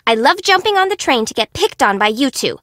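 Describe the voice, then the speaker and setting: A woman's voice: high-pitched, big pitch swings, a little fast, a bit bright, no echo, studio quality. A woman playing a perky, sassy young Japanese bento shop owner in a video game, with an informal, teasing, sarcastic manner. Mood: sarcastic, annoyed. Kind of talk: video game character voice acting.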